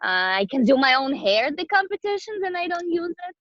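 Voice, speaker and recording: A woman's voice: high voice, big pitch swings, a little fast, fairly bright tone, no room sound, lo-fi sound. A bubbly woman chatting casually as an interview guest, a professional dancer whose native language may be Romanian. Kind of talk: casual chat.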